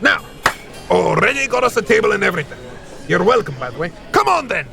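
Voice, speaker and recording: Cheeky boisterous hunter, very creaky voice, bright edge, folksy teasing. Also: male voice, high voice, very animated, fairly quick, soft background music, heavily acted. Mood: cheeky, proud. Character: jovial, cheeky, chatty hunter and ale drinker. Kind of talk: fantasy audio drama acting.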